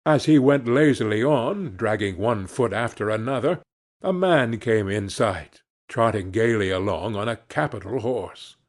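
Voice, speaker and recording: Male audiobook storyteller: careful phrasing, leisurely delivery, lively rise and fall. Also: fairly low pitch, measured pace. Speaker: audiobook narrator. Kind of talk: scripted storytelling narration.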